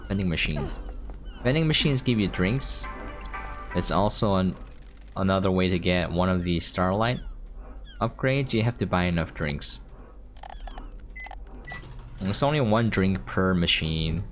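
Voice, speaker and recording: A man's voice: low-pitched, animated, unhurried, long pauses. A man giving casual gameplay commentary, thinking aloud and trailing off, with game music behind him. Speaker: game streamer. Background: video game music.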